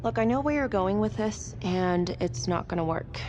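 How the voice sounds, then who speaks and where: An actress playing a guarded, determined prosecutor in a crime thriller game, speaking in a dry, measured way. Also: female voice, medium pitch, animated, noisy background, natural voice. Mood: resolute, measured. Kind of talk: naturalistic dramatic game acting. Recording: background music under the voice.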